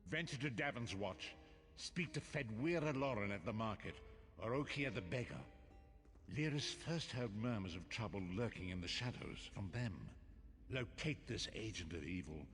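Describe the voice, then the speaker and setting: Deep, echoing old prophet. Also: male voice, lively intonation, moderate pace, a bit bright. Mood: grave warning. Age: older. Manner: solemn, portentous, formal archaic phrasing. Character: wise old blind male prophet, grave and cryptic. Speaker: quest-giving mentor in a fantasy game. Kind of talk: dramatic fantasy video game voice acting. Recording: long hall reverb, game music underneath. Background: game music.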